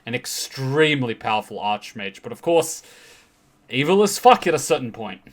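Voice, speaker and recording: A man's voice: medium pitch, sing-song, normal speed, noisy background. A man giving casual, informal explanatory commentary as the host of a reaction video.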